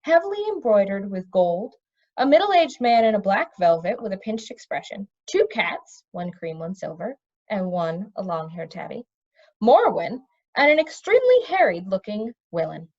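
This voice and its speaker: A woman giving an expressive read-aloud narration of a children's fantasy story. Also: medium pitch, normal speed, muffled recording. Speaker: storybook reader.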